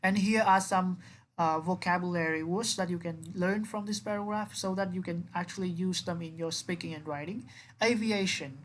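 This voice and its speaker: A woman's voice: lowish voice, moderate pace, slight background noise. A woman English teacher giving an explanatory vocabulary lesson, instructive, often repeating words and rephrasing them with synonyms.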